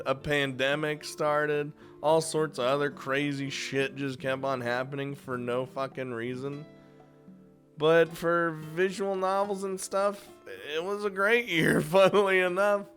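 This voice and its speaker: A man hosting a casual gaming video, talking informally and swearing freely as he gives his commentary. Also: fairly high pitch. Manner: informal, chuckling, frequent swearing. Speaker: video game YouTuber hosting a top five list.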